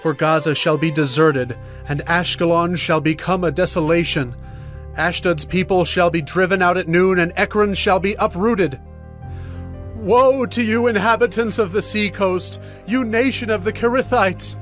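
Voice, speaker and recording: An actor playing a stern, urgent Hebrew prophet of doom in a solemn, emphatic dramatized scripture reading. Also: male voice, sits high, steady tone, a bit bright. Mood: condemning. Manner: solemn, emphatic, deliberate oratory. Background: music.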